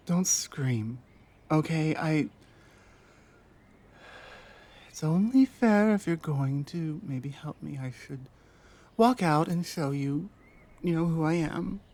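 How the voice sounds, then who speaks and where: A woman playing a timid, wary orc outcast, speaking haltingly with stammered restarts in an ASMR roleplay drama. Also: sits low, darkish tone. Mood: wary, hopeful.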